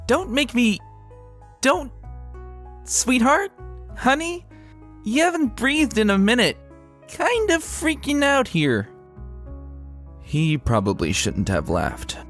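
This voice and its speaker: A man with a fairly low voice reads a story as a dramatic narrator. His pitch moves in a very lively way and his phrasing is deliberate and expressive. Music plays behind him. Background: background music.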